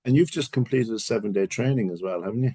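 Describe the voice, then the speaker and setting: A man's voice: lowish voice, quick pace, mellow, slightly rough. A man with an Irish accent hosting an interview over a video call, asking short, friendly prompting questions. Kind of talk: casual interview chat.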